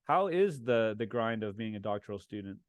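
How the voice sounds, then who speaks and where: A man hosting a podcast interview, asking questions in a relaxed, chatty way with many fillers and restarts. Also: on the low side, a little fast, no room sound. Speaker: podcast host. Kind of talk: casual interview.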